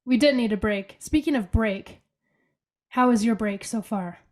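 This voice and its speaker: A young woman co-hosting a relaxed podcast, her voice stuffy and congested from a cold. She sits a little higher than most women in pitch and chats in an upbeat, rambling way, full of fillers. She is talking over a video call from her bedroom. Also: unhurried. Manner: chatty, upbeat, many fillers like "like". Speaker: podcast co-host. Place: bedroom at home.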